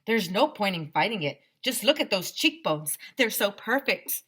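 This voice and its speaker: A woman voicing a gushing, theatrical female fashion photographer with drawn-out, effusive exclamations for a children's story. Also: medium pitch, big pitch swings, a bit bright, slightly rough. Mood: starstruck, insistent.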